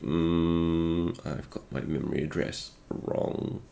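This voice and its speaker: Male tech explainer, very creaky low voice, a little stuffed up, talks through his reasoning as he goes. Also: level tone, slow pace, dark tone. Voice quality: slightly congested. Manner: thinking aloud, musing. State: congested, recovering from a cold. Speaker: host of a retro game programming video. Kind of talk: unscripted technical screen walkthrough.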